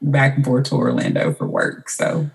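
A woman's voice: rumbling low, wildly swooping pitch, normal speed, creaky, darkish tone. A woman from Mississippi, talking reflectively and casually as a guest in an interview. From Mississippi, United States. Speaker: podcast guest, writer.

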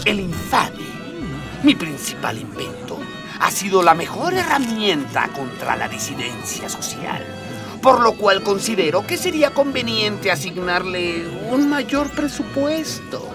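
A woman's voice: medium pitch, sing-song, a little slow. A woman playing an obsequious, scheming court doctor and inventor in a comic radio drama, formal and theatrical. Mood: fawning. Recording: slightly distant, music behind.

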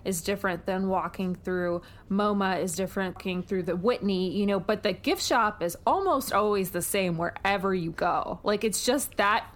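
An American woman hosts a relaxed podcast interview with an enthusiastic, effusive manner. Her voice sits at a middling pitch for a woman. She speaks conversationally, as one host among friends. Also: normal speed, few pauses. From New York, United States. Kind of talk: casual podcast interview chat.

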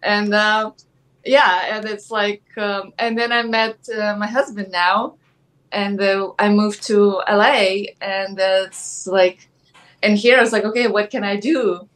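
A woman with a Ukrainian accent, chatting as a guest in an interview, soft-spoken and full of "like" fillers. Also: mid-range voice, fairly even intonation. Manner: soft-spoken, many "like" fillers, thoughtful pauses. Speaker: actress guest. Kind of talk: casual interview chat.

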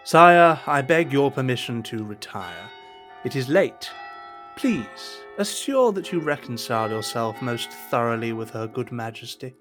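An actor playing a scheming, deferential cardinal in a period audio drama, speaking in a measured, formal, persuasive way. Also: male voice, mid-range voice, lively intonation, a bit bright, faint music behind. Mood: deferential, insinuating. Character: smooth, scheming cardinal, deferential royal minister.

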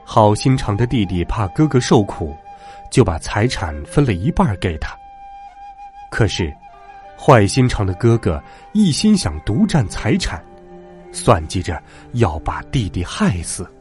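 A man narrating a bedtime story for children as host, in simple, expressive phrases. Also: on the low side, a little slow. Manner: addresses young children in simple, animated phrases. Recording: music behind the voice. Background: background music.

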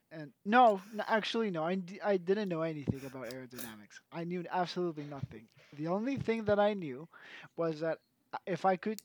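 A man's voice: fairly high pitch, no echo. A twenty-one-year-old man from Mexico, telling a story in a casual chat and correcting himself as he goes.

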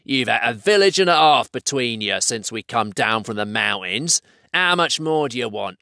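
Man putting on a gruff, beery troll. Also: medium pitch, animated, normal speed, sharp tone, no room sound, studio quality. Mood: irritated. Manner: rough, slangy, blunt. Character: fair-sized male troll, gruff, beery, slow-witted, grudgingly soft-hearted.

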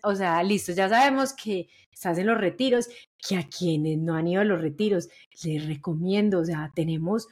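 A woman's voice: lowish voice, normal speed, a little mellow, husky. A Colombian woman hosting a podcast in a casual interview style, talking in a chatty, colloquial and emphatic way. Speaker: podcast host.

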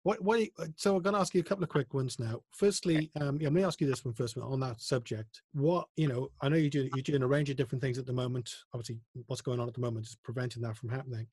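A man's voice: medium pitch, quick pace, hardly any pauses, a little mellow, husky, no room sound. A male host interviewing a guest in a friendly way, often stumbling over restarts of his words. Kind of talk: casual interview.

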